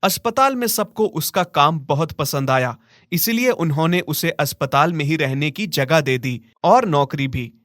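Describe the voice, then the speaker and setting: A man's voice: on the high side, lively intonation, unhurried, a bit bright, dry recording, clean studio sound. A man narrating a scripted moral story as a storyteller.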